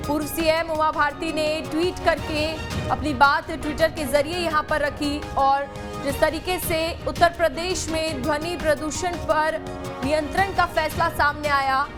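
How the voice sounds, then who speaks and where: Woman, high pitch, emphatic news anchor, repetitive phrasing, music bed behind. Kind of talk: broadcast news reading and questioning.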